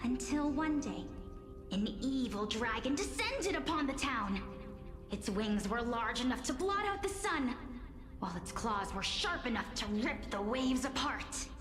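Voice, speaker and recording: A playful young woman storyteller from a video game. Her voice is high and theatrical, made for dark legends. It rings with long reverb, and music plays behind her. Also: sing-song, a little slow. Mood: dramatic, ominous. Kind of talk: video game voice acting, dramatic tale narration.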